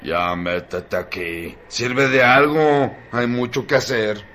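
Gruff, slow-spoken rural taskmaster. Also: male voice, mid-range voice, bright, forceful tone, a little hiss or hum. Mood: stern. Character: curt, hardened rural man ordering a boy to work. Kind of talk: radio drama acting.

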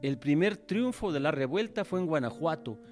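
An actor playing a curious, eager history learner, casual and inquisitive in scripted radio dialogue. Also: male voice, on the high side, expressive, normal speed, darkish tone, soft background music, lightly acted.

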